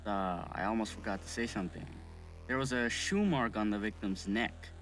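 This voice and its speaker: A man acting an earnest autopsy doctor in an amateur audio drama, talking in a deliberate, conversational way. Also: on the low side, fairly bright tone. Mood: matter-of-fact, sympathetic. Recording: background noise.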